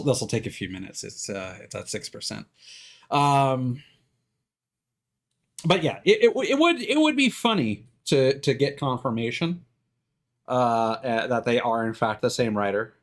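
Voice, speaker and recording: Rambling, halting male game streamer. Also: fairly low pitch, vocal fry. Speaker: live streamer. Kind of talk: casual rambling stream commentary.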